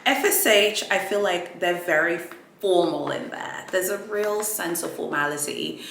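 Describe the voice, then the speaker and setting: A woman's voice: lowish voice, unhurried, vocal fry, fairly bright tone, reverberant, slight background noise. A woman whose first language is Swahili, chatting casually and rambling through a luxury unboxing vlog, with frequent restarts and fillers. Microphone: ring light setup, camera mic.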